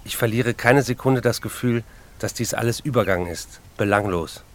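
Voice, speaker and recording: A man's voice: medium pitch, moderate pace, lightly acted. An actor playing a besotted, restless writer in a radio play, reading his letters aloud with dry, precise, literary phrasing. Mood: dry, wry.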